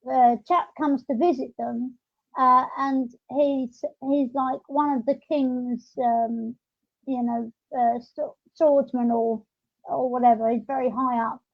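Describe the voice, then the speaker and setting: A woman hosting a podcast, chatting casually and explaining things in a rambling, halting way. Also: sits high, dry recording. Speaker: podcast host. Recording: dull, lo-fi audio.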